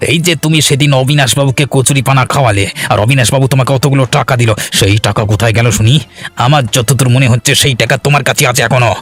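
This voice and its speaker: A man's voice: medium pitch, quick pace, fairly bright tone, close to an everyday voice. A man voicing a greedy, scheming servant in a cartoon, sly and wheedling. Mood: menacing, mocking. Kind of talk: cartoon voice acting.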